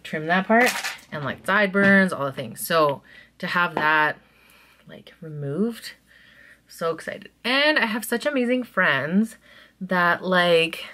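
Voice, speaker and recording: A woman in her thirties chats to a camera close in front of her as she does her makeup in a small bedroom at home. She talks eagerly and keeps drifting into soft, quiet asides. Her voice sits a little low for a woman. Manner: chatty and excitable, trailing into soft asides. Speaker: vlogger. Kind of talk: casual chat while doing makeup.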